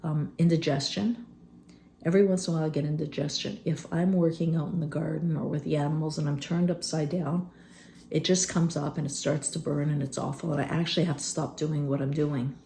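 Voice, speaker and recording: A woman in her fifties talking candidly and unscripted in a vlog, rambling with many fillers and a phlegmy throat she keeps clearing. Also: low-pitched, steady tone, brisk, mellow, a little hiss or hum. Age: 50s. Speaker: vlogger. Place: rural homestead.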